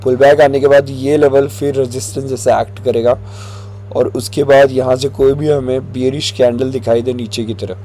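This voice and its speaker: Hoarse man explaining charts. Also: medium pitch, poor audio. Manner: relaxed, mixing English phrases, frequent "theek hai". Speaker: stock market analyst. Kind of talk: chart analysis tutorial.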